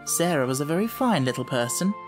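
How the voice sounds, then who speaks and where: A man's voice: a little high, very animated, a little fast. A man reading a children's story as scripted audiobook narration. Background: music.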